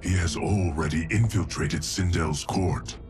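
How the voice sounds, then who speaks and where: An actor playing a solemn, dutiful timekeeper construct in a video game cutscene, speaking in a grave, formal, measured way. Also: male voice, sits low, over music. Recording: game audio with loud score.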